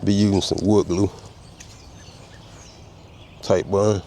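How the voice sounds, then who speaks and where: A man's voice: deep voice, steady tone, takes it slowly, creaky, background noise. A man with a head cold, casually explaining a car repair step by step as he works outdoors. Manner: easygoing, talks while working, explains each tool. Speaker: custom car restorer demonstrating a repair. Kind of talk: casual step-by-step how-to narration.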